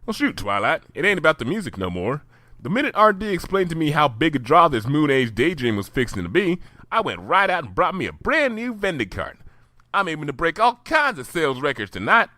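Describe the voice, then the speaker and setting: A man's voice: on the high side, very animated, a little fast, pushed and bright, no room sound, voice pushed up. Man doing a folksy country farm pony mare. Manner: folksy country drawl, plain-spoken. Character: down-to-earth farm pony mare, plain-spoken.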